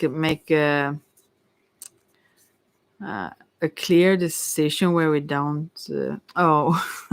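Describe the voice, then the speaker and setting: A person giving a casual ASMR tarot reading, rambling and musing in a gentle, reassuring and hesitant way. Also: mid-range voice, clean tone, no room sound. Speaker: tarot card reader.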